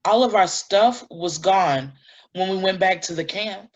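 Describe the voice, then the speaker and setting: An actress playing a shaken, earnest woman giving deliberate testimony in a dramatic staged reading, on a lo-fi recording. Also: mid-range voice, natural voice. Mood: uneasy. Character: shaken, earnest woman recounting an eerie night in woods.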